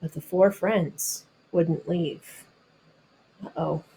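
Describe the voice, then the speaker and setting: A woman's voice: lowish voice, steady tone, very slow, gravelly, mellow, husky, low-quality recording. American woman reading aloud to children. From North Carolina, United States. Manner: explains simply and patiently to children. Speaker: teacher reading a picture book aloud. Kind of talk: children's read-aloud with explanatory asides.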